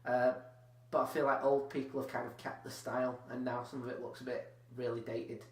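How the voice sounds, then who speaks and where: A man's voice: medium pitch, flat delivery, a little fast, a little mellow. Rambling young man, roomy echo. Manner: rambling, hedging with many "like"s. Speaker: podcast host. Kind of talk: casual comedy podcast chat. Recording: roomy echo with faint hum.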